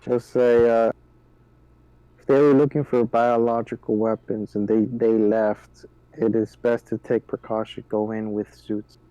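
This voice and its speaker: A man with a halting delivery, discussing plans as a player in a tabletop game. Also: on the low side, flat delivery, warm tone, no echo. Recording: dull, lo-fi, dry microphone.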